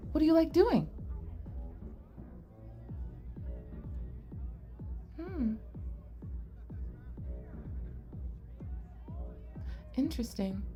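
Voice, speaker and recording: Woman playing a high-pitched, nerdy young goblin girl. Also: fairly quick, soft background music. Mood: cheerful, eager. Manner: earnest, inquisitive, expressive. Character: shy, curious, nerdy young goblin girl, sweet and eager. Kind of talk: ASMR roleplay acting addressed to the listener.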